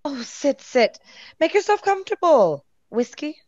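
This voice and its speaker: A gracious older mother acted by a woman fusses as hostess in a radio play. The voice is a little high, in a dry, slightly muffled recording. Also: sing-song, measured pace, darkish tone, dry recording.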